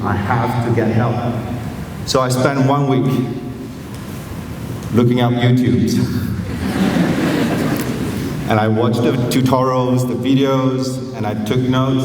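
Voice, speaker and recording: A man with a Chinese accent speaks earnestly and humbly, pausing often and adding fillers. His voice sits at a middle pitch. It rings through a large hall with a long reverb, picked up from a distance over steady background noise. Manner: earnest, gently self-deprecating, many pauses and fillers. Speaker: teacher giving a graduation speech. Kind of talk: inspirational speech to students. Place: large school assembly hall. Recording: echoey hall from far off with noise.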